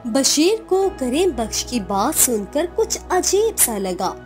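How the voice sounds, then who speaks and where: A woman's voice: high voice, very animated, takes it slowly, a little mellow, faint music behind. A woman narrator reading a scripted cartoon story in storybook style.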